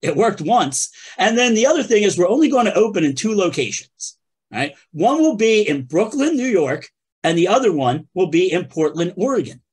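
A man's voice: high voice, sing-song, normal speed, a little mellow, breathy edge. A man on a video call with bandmates, chatting casually and making jokey announcer-style asides. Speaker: band member hosting a video call show. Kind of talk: casual joking chat.

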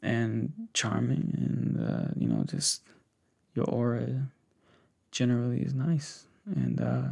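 A young American man with a gravelly voice doing an ASMR roleplay, talking unhurriedly and intimately to one listener, close on the mic. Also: mid-range voice, fairly even intonation, a little mellow. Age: 20s to 30s. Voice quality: gravelly, fry-laden. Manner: unhurried, hesitant, pausing talk addressed intimately to one listener. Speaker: ASMR creator. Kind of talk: ASMR roleplay, soft conversational monologue. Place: small indoor setup with cloth backdrop. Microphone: close desktop condenser mic with pop filter.

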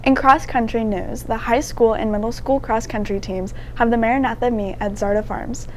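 A woman's voice: a little high, steady tone, moderate pace, darkish tone, a little hiss or hum. A teenage girl co-anchoring a school newscast and reading sports announcements. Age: teens. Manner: scripted announcements. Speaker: student news anchor. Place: school TV studio desk.

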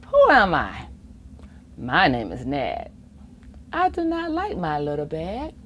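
Very animated, slow-paced woman reading to children. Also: sits low, slight background noise. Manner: expressive, chuckling asides, acts out the rhymes. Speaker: guest reader of a children's picture book. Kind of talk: read-aloud storytelling for children with playful asides. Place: library children's reading corner, indoors.

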